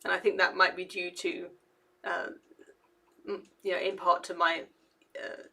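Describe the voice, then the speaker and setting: A woman's voice: lowish voice, flat delivery, a little slow, gravelly, pushed and bright. A young woman, an engineer, giving casual commentary to camera in a hesitant, rambling way with many fillers and restarts. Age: young adult. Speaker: engineer and video essayist.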